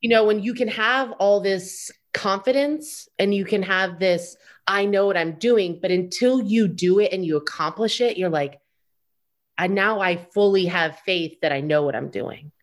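A woman's voice: mid-range voice, a little slow. A woman in her thirties speaking as a guest in a casual podcast chat, outspoken and telling stories with acted-out quotes. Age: 30s. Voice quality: loud voice. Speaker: interview guest, wedding business owner.

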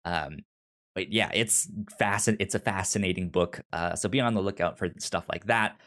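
A man's voice: low-pitched, moderate pace, fairly bright tone. A man hosting a podcast in a casual review discussion, often stammering and repeating words as he feels his way through a thought. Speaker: podcast host.

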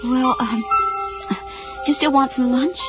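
An actress playing a flustered suburban woman in an audio drama, scolding but soft-hearted, in a natural style. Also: high-pitched, fairly even intonation, takes it slowly, muffled recording, loud music behind the voice. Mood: gentle, concerned.

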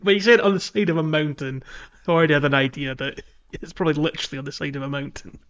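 A man's voice: fairly high pitch, fairly even intonation, fast talker, a bit bright, clean tone. A man chatting casually as the co-host of a podcast. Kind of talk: casual chat. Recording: dull lo-fi audio, faint music.

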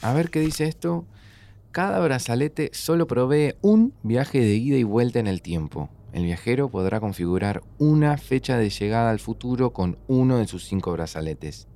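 A man's voice: medium pitch, expressive, moderate pace, gravelly, dark tone. An actor playing a cautious, skeptical young man with an Argentine accent, dry and informal, in a naturalistic audio drama. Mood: reading aloud. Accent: Argentine Rioplatense. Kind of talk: naturalistic audio fiction acting.

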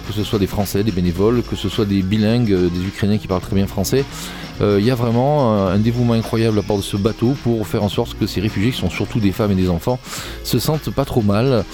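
A man hosting a radio music show, talking off the cuff in a hesitant, digressive way with frequent reformulations. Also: lowish voice. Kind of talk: unscripted radio presenting between songs. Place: radio studio.

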